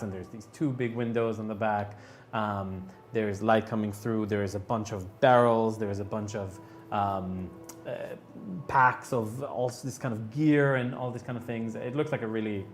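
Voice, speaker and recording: A man improvising narration as game master of a tabletop game, speaking haltingly with many filler sounds. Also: lowish voice. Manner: halting, hesitant delivery full of filler sounds.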